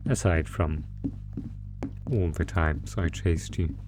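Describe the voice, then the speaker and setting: A man voicing a sadistic, theatrical monster who taunts a prisoner in a horror roleplay, talking with drawn-out pauses. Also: very deep voice, music in the background. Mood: rattled, frustrated. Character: sadistic, gloating male monster stalking its prisoner through a maze. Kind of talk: ASMR horror roleplay audio drama.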